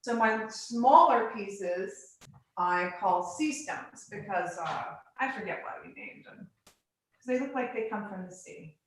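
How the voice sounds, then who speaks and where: Woman artist showing folks round her home studio, mid pitch, kinda halting n casual, roomy echo on a phone. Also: lively intonation, a little hiss or hum. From Bahamas and Virgin Islands. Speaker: glass artist giving a studio tour. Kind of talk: casual guided tour, live presentation. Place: living room of a home studio. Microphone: phone on a selfie stick. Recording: echoey room, live video stream.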